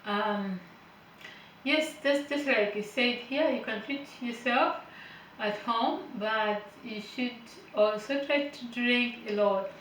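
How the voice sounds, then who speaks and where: A woman's voice: on the high side, takes it slowly, a bit bright, slight background noise. A woman from Uganda, a care worker giving an informal health lesson, reading notes aloud and explaining them with hesitant fillers and light chatty asides. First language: not English; Finnish is her third language. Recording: echoey room, voice a bit far from the mic.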